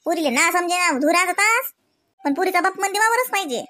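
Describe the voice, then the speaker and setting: A high-pitched, chatty village auntie from a comedy cartoon, acted out by a woman. She talks in rustic Varhadi Marathi from Vidarbha. Her delivery is gossipy and full of exclamations. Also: expressive, a little fast, pushed and bright, heavily acted. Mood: complaining.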